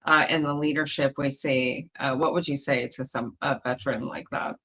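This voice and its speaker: Woman host, army vet, lots of ums, kinda halting, deep for a woman. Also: steady tone, a bit bright. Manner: halting, many ums and uhs. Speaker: show host, Army veteran. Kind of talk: livestream interview questions.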